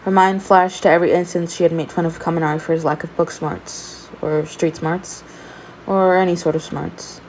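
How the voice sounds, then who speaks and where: Female fan fiction narrator, slightly low voice, expressive amateur storytelling, some background noise. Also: moderate pace, low-quality recording.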